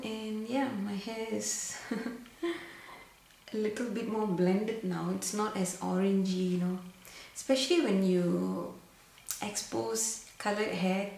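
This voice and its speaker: A woman's voice: medium pitch, gravelly. A young woman from Singapore chatting to camera in a casual vlog, full of fillers and restarts. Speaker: makeup vlogger. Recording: very echoey room, faint background hiss.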